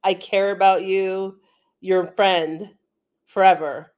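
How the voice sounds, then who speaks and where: A woman guest in a casual live-stream chat, speaking in an animated way. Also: mid-range voice, slow pace.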